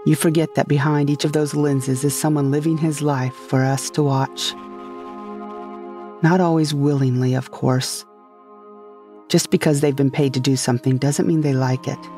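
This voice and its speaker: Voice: mid-range voice, little pitch movement, moderate pace, long pauses. A narrator delivering a scripted dramatic monologue in a sci-fi audio drama. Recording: faint music behind the voice.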